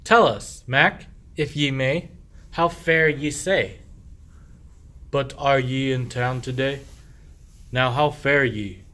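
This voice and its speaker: A man playing a sly husband feigning innocence and hospitality, speaking measured archaic rhymed verse in a radio play. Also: medium pitch, sharp tone. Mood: falsely hospitable.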